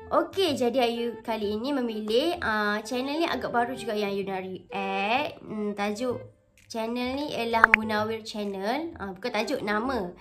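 A woman's voice: fairly high pitch, a bit bright. A young Malaysian woman with a Malaysian accent, hosting a reaction video in a casual, rambling way that mixes Malay and English and corrects itself as she goes. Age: young adult. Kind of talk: chatty Malay-English mix. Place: small indoor bedroom. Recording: music playing underneath.

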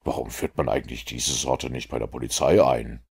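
A cool, precise detective, as a man reads him out, his very deep voice slow and assured. There is a gravelly, husky edge to every measured line. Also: steady tone, darkish tone, full character voice. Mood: calm, assured.

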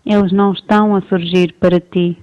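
A woman with a European Portuguese accent interpreting a guided meditation in calm, measured short phrases. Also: lowish voice, lo-fi sound.

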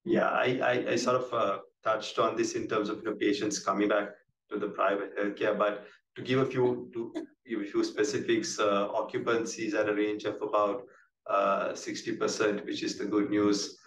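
A Sri Lankan man gives a measured, halting business briefing in a flat tone. He sounds like an executive taking questions, often doubling back on words. The sound is distant and roomy, with noise behind him. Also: lowish voice. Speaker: company executive on an earnings call. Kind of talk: business presentation, answering questions. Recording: roomy, distant mic with clear background noise.

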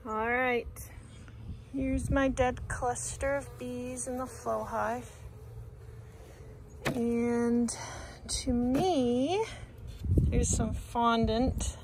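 A woman's voice: a little high, drawn-out words, pauses often. A woman in her thirties from Canada, talking casually and sounding let down as she inspects a beehive in her backyard, filmed close on a phone. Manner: disappointed, thinking aloud while inspecting a hive. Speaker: beekeeping vlogger. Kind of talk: casual vlog commentary. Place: outdoors in a backyard bee yard. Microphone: phone camera held close, selfie framing. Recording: loud outdoor noise on a phone mic.

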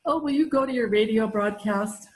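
A woman's voice: on the high side, normal speed, darkish tone, lightly acted. A middle-aged woman playing a genteel, put-upon wife in an amateur old-time radio comedy. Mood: wounded. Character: melodramatic, put-upon wife feigning frailty, sweetly genteel. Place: room at home, video call. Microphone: video call.

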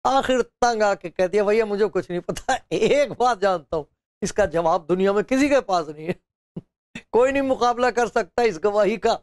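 A man's voice: high voice, animated, normal speed, no room sound, clean studio sound. An older man preaching a sermon to camera with a lapel mic, telling stories and acting out quotes with chuckles. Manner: storytelling, acting out quotes with laughs and gestures. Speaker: pastor. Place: studio with bookshelves, indoors.

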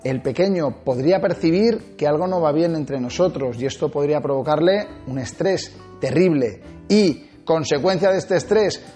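A man in his forties from Spain hosting a dog-training video, talking to camera with animated gestures and emphatic swings in pitch. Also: normal speed, soft background music. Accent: Spanish from Spain. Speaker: dog trainer and channel host. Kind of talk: energetic tips video to camera. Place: small indoor studio set with shelves. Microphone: lapel.

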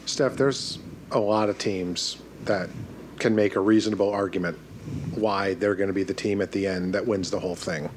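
A man's voice: sits low, fairly even intonation, normal speed, slight background noise. A man working as a sports reporter, putting a question at a press conference.

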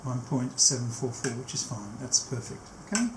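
The recording has a clearly roomy echo and faint noise, as from a camera mic in a small workshop. A man in his sixties speaks at a medium pitch, walking through a practical task step by step. His delivery is unscripted, with pauses as he works. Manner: step-by-step explaining, often pausing mid-task. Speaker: technician demonstrating a tape machine setup. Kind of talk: hands-on demonstration, unscripted walk-through. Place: small cluttered indoor workshop. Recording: echoey room, faint background noise.

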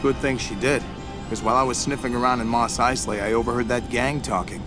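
A laid-back mercenary pilot played by a man talks casually and with confidence. His mid-pitched voice sits over clear music in a game cutscene. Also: fairly even intonation, brisk, close to an everyday voice. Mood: cocky ease. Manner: casual, self-assured. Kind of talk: video game cutscene dialogue. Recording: music behind the dialogue.

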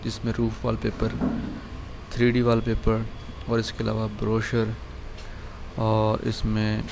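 A man, hosting a screen-recorded software tutorial and calmly walking through a design file with lots of filler words. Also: fairly low pitch, creaky, noisy background. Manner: calm, unhurried explaining with many fillers. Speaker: channel host showing a design file.

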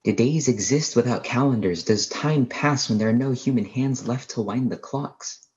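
An actor playing a haunted astronomy professor, lone survivor of an alien invasion, narrating a radio play in a halting, brooding, literary way. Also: male voice, medium pitch, darkish tone, highs cut off, natural voice. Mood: anguished, lost. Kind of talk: dramatic first-person radio play narration.

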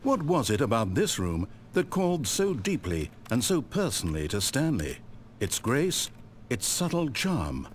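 Theatrical, mocking game narrator. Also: male voice, mid-range voice, wildly swooping pitch, unhurried, a little hiss or hum. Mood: wry, storybook calm. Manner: theatrical, mocking, condescending, articulate. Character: omniscient sardonic male narrator toying cruelly with his character. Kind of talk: scripted storybook-like video game narration.

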